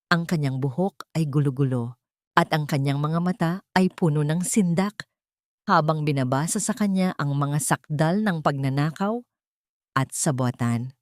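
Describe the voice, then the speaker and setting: A woman narrating a dramatic love story in a measured, theatrical reading style. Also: sits low, a little mellow, no room sound, studio quality.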